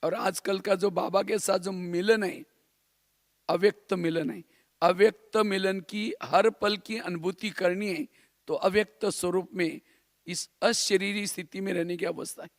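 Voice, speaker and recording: A man's voice: sits high, fairly even intonation, unhurried, dry recording, clean studio sound. A man from India giving a spiritual class in a didactic way, repeating phrases and posing rhetorical questions. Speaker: spiritual teacher.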